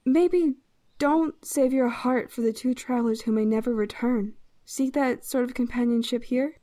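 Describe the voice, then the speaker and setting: Mellow, high-voiced druid noblewoman, played by an actress, formal and gracious, fantasy audio drama. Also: moderate pace, no echo, close to an everyday voice. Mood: gently chiding. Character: composed noble druid lady, caring, exasperated sister.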